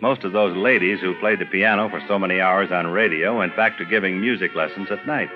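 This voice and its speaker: A man's voice: deep voice, fairly even intonation, brisk. An older American man, a veteran announcer, reading a scripted documentary narration about his profession. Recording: dull vintage recording, music behind. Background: music.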